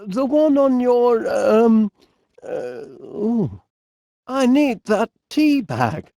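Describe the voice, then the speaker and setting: An actor playing a polite, bumbling, enthusiastic inventor with a Northern English accent in an animated video game, hesitant and fussily courteous with quaint turns of phrase. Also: male voice, very high-pitched, very slow, creaky, dry recording, clean studio sound, full character voice. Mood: flustered, awkward.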